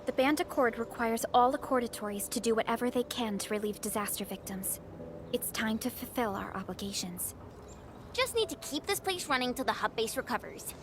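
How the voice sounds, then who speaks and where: A woman's voice: fairly high pitch, lively intonation, brisk, background noise, lightly acted. A woman voice-acting an earnest, upbeat young relief helper in a video game cutscene, with music behind her. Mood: resolute.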